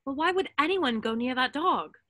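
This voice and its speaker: A woman's voice: sits high, animated, normal speed, pushed and bright, no echo, studio quality. A woman playing an earnest, concerned, inquisitive schoolgirl in a screenplay table read.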